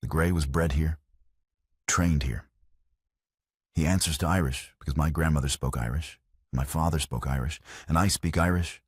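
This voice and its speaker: A man voicing a calm, unyielding young horsewoman, very deep. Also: normal speed, husky, no room sound, studio quality. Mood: firm, proud. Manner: quiet, firm, defiant. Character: proud, calm, unyielding 26-year-old woman horse trainer.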